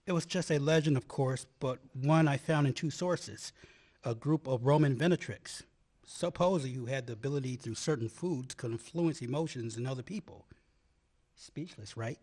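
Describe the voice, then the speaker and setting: A man's voice: mid-range voice, expressive, moderate pace, a little mellow, lightly acted. An actor playing an earnest man in love, a historical researcher, in a dramatic radio-play style. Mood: explaining. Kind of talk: stage radio play acting.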